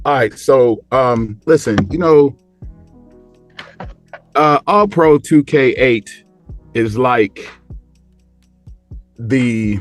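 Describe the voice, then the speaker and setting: Guy on a sports panel, medium pitch, kinda halting, repeats himself while making his point. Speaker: panel guest. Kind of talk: casual sports chat.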